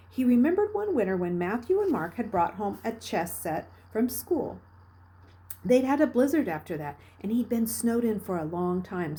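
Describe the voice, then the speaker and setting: A woman narrates a children's novel in a warm, mellow voice at a middle pitch. Her read-aloud style is expressive and dramatic, dropping into hushed phrases and rising into emphatic ones.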